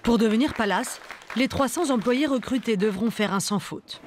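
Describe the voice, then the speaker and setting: A woman reading a documentary voice-over in a measured, even way. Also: fairly high pitch, a faint crowd, a little hiss or hum. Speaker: documentary narrator. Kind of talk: scripted voice-over narration. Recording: studio voice-over with music underneath.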